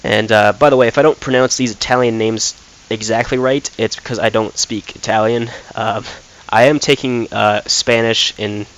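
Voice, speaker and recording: A man's voice: lowish voice, fairly even intonation, moderate pace, a bit bright, poor audio. A young man casually commenting while playing a football game, thinking aloud with lots of fillers and restarts. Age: young adult. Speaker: gaming commentator.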